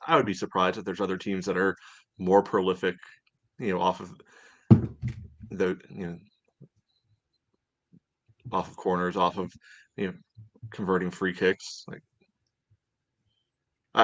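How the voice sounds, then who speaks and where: A man co-hosting a sports podcast, giving his opinions in a casual, halting way. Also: deep voice, steady tone, fairly bright tone. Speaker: podcast co-host.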